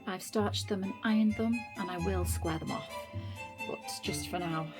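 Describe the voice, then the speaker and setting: A woman's voice: medium pitch, moderate pace, music in the background. A British woman giving a casual, chatty craft tutorial as a vlog host. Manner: chatty, informal.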